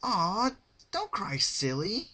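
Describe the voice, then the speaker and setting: Casting: gleefully sadistic female party pony, performed by a man, very high, loud and sing-song, sugary taunts, cartoon fan fiction. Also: wildly swooping pitch, takes it slowly, husky, full character voice. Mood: mock soothing. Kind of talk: fan-fiction dramatic reading.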